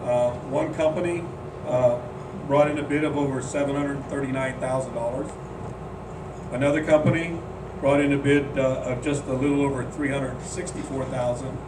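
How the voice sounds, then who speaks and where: A man leading a club meeting, speaking haltingly with frequent "uh"s. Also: mid-range voice, fairly even intonation. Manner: halting, lots of "uh" fillers. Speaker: club board member chairing meeting. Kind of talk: meeting announcement. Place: meeting room at a golf club. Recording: roomy, distant mic, background hum.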